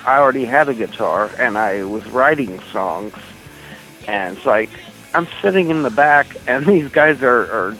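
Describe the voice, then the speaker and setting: A man from Oklahoma being interviewed as a guitarist, answering in a thoughtful, soft-spoken way and pausing to recall things. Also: mid-range voice, expressive, soft background music, rough recording. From Oklahoma, USA. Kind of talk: casual interview chat.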